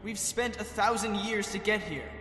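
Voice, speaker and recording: A man playing an earnest, accusing young soldier in a sci-fi parody, pleading intensely and haltingly. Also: high-pitched, bright, forceful tone, roomy sound, long reverb tail, a little hiss or hum. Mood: anguished accusation. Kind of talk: animated sci-fi parody voice acting.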